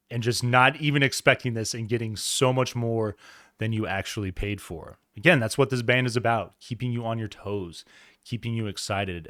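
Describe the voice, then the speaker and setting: A man with a somewhat low voice talks through an album review in a loose, enthusiastic way, full of restarts and fillers. He grew up in the American Southwest. The sound is dry and close. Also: fairly bright tone, no room sound. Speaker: music reviewer. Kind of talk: casual album review talk.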